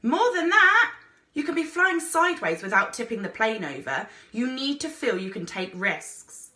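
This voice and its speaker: A woman's voice: on the high side, very animated, moderate pace, pushed and bright, roomy sound, voice pushed up. A woman voicing a daredevil male explorer pilot from a storybook, commanding and a little mad, barking urgent instructions. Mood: shouting, urgent. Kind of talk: storybook character voice.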